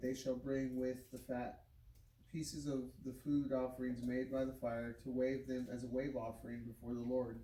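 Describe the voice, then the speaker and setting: A man reads scripture aloud in a halting way, stumbling over words and starting them again. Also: mid-range voice, dark tone. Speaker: Bible reader. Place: home living room. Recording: roomy, distant mic, faint noise.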